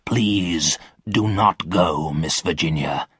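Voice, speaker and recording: A man voicing a lonely, sorrowful old ghost in a storybook, weary and solemn, with a husky voice. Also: very deep voice, a bit bright, no echo, clean studio sound, pitched below the performer's own voice, exaggerated voice. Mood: weary, pleading. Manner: slow, weary, solemn delivery.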